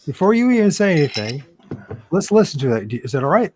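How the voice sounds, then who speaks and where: A man's voice: on the high side, a little fast, a little hiss or hum. A man co-hosting a casual live stream, joking around and laughing often. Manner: jokey, laughs often, animated. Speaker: podcast co-host.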